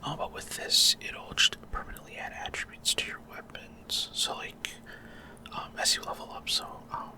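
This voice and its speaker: Whispering man narrating gameplay. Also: normal speed. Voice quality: breathy unvoiced whisper. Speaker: gaming ASMR creator. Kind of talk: whispered ASMR gameplay commentary.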